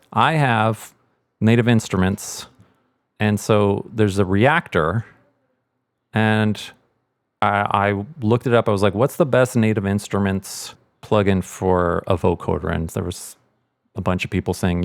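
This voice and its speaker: A man's voice: lowish voice, dry recording. A man explaining sound design in a casual, informal tutorial, thinking aloud as he goes.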